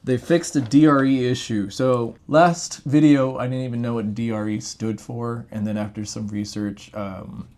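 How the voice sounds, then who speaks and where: A man's voice: mid-range voice, steady tone, moderate pace, few pauses, a little mellow. A man chatting casually as he co-hosts a gadget review, explaining things informally. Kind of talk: casual product review chat.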